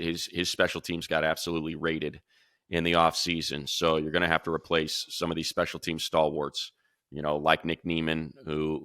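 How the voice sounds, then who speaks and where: A man's voice: rumbling low, sharp tone, no room sound, studio quality. A man giving casual, opinionated football draft commentary as a radio analyst, talking conversationally and thinking aloud. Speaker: sports radio announcer and analyst.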